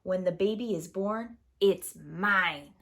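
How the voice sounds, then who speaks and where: A woman's voice: fairly low pitch, slow pace, a bit bright. A woman voicing a cruel, gloating witch with a harsh voice in a children's storybook. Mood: cruel bargaining. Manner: menacing, gloating. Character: cruel gleeful old witch claiming a child.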